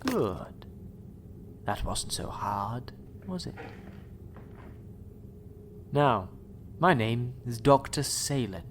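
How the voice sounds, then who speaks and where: An actor playing a sinister doctor in an audio drama, formal and unctuous, with coolly polite menace. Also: male voice, mid-range voice, sing-song, extremely slow, pauses often, slight background noise. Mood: firm, threatening. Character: sinister, coolly polite male doctor who experiments on people.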